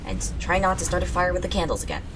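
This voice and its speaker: An actor playing a dry, unflappable, bossy young friend in an audio drama. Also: female voice, lowish voice, sing-song, fast talker, noisy background. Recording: loud background ambience.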